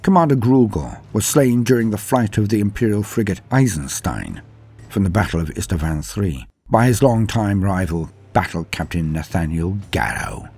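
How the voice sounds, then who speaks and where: Male narrator, low and raspy, grandiose dramatic storyteller for scripted fantasy lore. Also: lively intonation, normal speed, darkish tone. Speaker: narrator and presenter of a lore channel.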